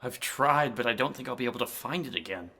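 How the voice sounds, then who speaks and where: Horror radio play: timid scholar, formal and nervy, creaky mid-range voice. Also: male voice, mid-range voice, animated, fast talker, bright, forceful tone. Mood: haunted. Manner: nervous, formal old-fashioned speech. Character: Carter, timid anxious scholar haunted by a graveyard horror. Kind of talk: horror radio drama acting.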